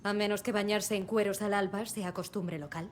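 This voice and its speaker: A woman's voice: medium pitch, fairly even intonation, normal speed, a little hiss or hum, close to an everyday voice. An actress playing a wry, defensive female true-crime writer in a dubbed video game, assertive and sardonic in delivery. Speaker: writer, witness.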